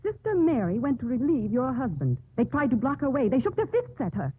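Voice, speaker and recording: A tinny old radio sound carries a spiteful nurse. Her high voice is very husky and swings widely in pitch, blunt and disdainful in a radio play. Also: sing-song, fairly quick, dry recording, exaggerated voice. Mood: scornful. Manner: sharp-tongued, disdainful. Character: spiteful, scornful, blunt hospital nurse woman. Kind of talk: 1950s radio acting.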